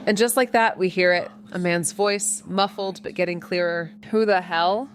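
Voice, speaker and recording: An actress playing a reckless amateur sleuth who narrates her own noir story in a wry, deadpan, atmospheric way. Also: fairly low pitch, normal speed, natural voice. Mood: tense, hushed curiosity. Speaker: actor narrating a podcast drama. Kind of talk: first-person noir narration and dialogue.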